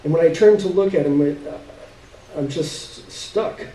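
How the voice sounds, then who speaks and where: Male literary reader, slightly high mellow voice, dramatic pauses, recorded at a distance on a roomy stage. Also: a little hiss or hum. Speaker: story reader. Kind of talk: expressive live literary reading. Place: live stage reading indoors.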